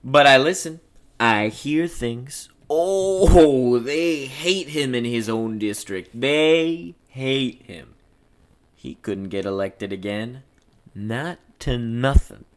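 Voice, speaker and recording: A woman's voice: a bass voice, expressive, slow pace, full character voice. A woman reading the part of a boastful, loyal, dim male beat cop in a play, with folksy, self-important, ungrammatical speech. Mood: scornful. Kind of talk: script read-through of a play.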